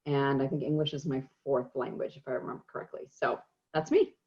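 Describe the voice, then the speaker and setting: Low, even-toned woman on muffled call. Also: a little fast. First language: Arabic. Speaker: panelist, marketing executive. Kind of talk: conversational self-introduction. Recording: dull, muffled online call audio.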